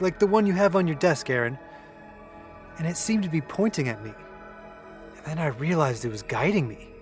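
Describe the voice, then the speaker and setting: Guy acting shaken young dude in an audio drama, highish voice, halting n earnest, music under it. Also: lightly acted. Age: young adult. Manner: halting, earnest storytelling with pauses. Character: shaken young man recounting an eerie vision.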